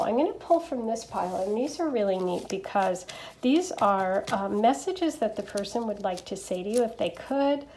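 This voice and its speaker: A woman with a stuffy nose from allergies, chatting gently through a tarot reading with lots of fillers and little checks. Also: mid-range voice, slight background noise, low-quality recording. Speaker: tarot reader. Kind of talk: casual pick-a-card tarot reading.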